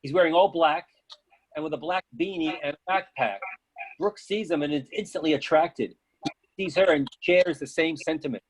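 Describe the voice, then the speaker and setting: A man's voice: on the high side, moderate pace, rough recording. A man giving scripted narration as the reader of a play's stage directions.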